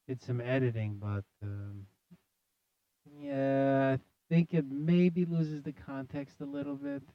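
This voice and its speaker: A man hosting a talk show with casual, loosely improvised, hesitant commentary. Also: mid-range voice. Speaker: show host. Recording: dull, roomy sound.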